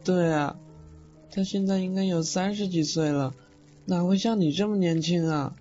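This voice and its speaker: A man's voice: high-pitched, normal speed, warm tone, natural voice. A man acting in an audio drama as a sweet, naive teenage boy ghost with a childlike, pouty manner. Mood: wistful. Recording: slightly dull audio, faint music behind.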